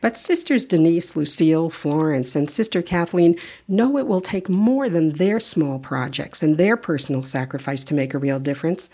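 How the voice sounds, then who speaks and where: A woman's voice: deep voice, lively intonation, moderate pace, few pauses, warm tone, husky. A woman radio reporter narrating a scripted feature.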